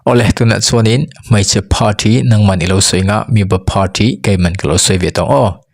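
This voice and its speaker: A man's voice: fairly low pitch, fairly quick, creaky. A man with a Mizo accent, a native Mizo speaker teaching English, who says each phrase twice for learners.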